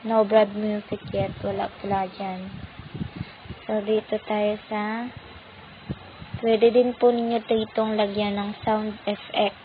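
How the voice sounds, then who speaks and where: A woman's voice: mid-range voice, level tone, slow, long pauses, phone-quality sound, slight background noise. A woman hosting an app tutorial, politely and patiently walking viewers through each step. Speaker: tutorial channel host.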